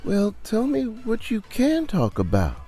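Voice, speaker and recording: A man's voice: high-pitched, wildly swooping pitch, measured pace, warm tone, pitched above the performer's own voice, full character voice. A man voicing a patient pub manager and loyal friend, coaxing and insistent, in an audio story.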